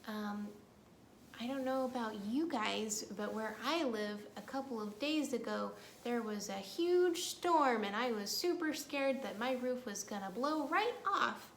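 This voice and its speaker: A woman's voice: on the high side, animated, unhurried. A woman leading a children's storytime as a librarian, playfully reciting rhymes and action songs in a sing-song way, talking directly to young kids. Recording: echoey room with faint background noise.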